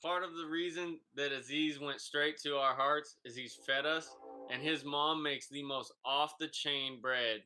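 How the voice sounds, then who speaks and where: Bright-voiced excitable male podcast host. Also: on the high side, measured pace, clean tone, soft background music, low-quality recording. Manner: excitable, hypes up the guest. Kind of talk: casual podcast chat.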